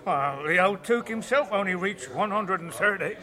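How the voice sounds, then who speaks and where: A man's voice: sits high, lively intonation, moderate pace, bright, forceful tone, faint music behind. A man playing an agreeable hobbit villager in a radio drama. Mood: agreeing, ponderous.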